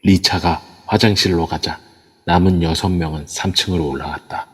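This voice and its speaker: A man's voice: sits low, fairly even intonation, moderate pace, slightly rough. A man reading scripted narration for an audio drama. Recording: long reverb throughout.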